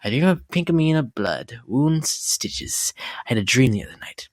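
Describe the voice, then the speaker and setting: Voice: medium pitch, sing-song, normal speed, dry recording, studio quality, close to an everyday voice. A performer voicing a flirtatious, unhinged and sadistic pony lady in a dramatic comic reading, with affected, drawling endearments. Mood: dreamy, morbid.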